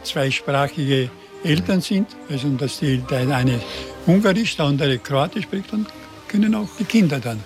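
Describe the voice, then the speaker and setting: A man in his eighties from Burgenland with a slight Burgenland Croatian accent, answering interview questions in a halting way, often repeating words. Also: a little high, animated, over music. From Burgenland, Austria. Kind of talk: conversational interview.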